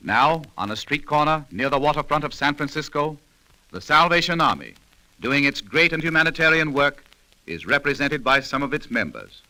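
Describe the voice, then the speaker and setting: A man's voice: a little high, expressive, normal speed, low-quality recording. A man narrating a scripted 1930s radio program in a dramatic, formal storytelling manner. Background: music.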